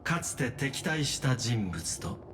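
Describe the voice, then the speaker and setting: An actor playing a courteous, logical machine genius with formal, precise speech in an anime-style game. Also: male voice, mid-range voice, sing-song, normal speed, fairly bright tone, a little hiss or hum. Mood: calm, reassuring. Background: game music.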